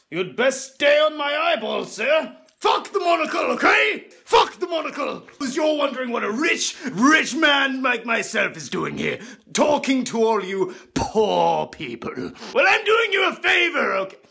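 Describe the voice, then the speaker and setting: A man's voice: extremely high pitch, very animated, unhurried, vocal fry, pushed and bright, pitched above the performer's own voice, full character voice. A man playing a pompous, crude rich Englishman with a mustache and monocle, in a comedy sketch video.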